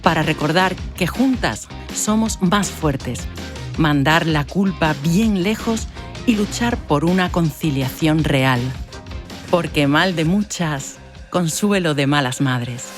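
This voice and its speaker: Low-voiced woman reading a show intro. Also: animated, slow. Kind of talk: scripted narration. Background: music.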